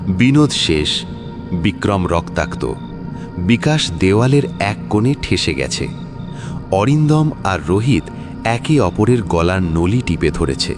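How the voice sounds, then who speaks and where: A man's voice: low-pitched, sing-song, a little slow. A man narrating a suspense thriller audio story in a dramatic scripted style. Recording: music behind the voice. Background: suspense music.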